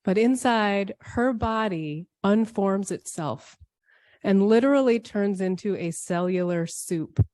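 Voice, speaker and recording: A woman delivering a scripted, reflective church sermon in measured, poetic phrases with deliberate phrasing. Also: medium pitch, a little mellow, no echo. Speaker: speaker at a church service.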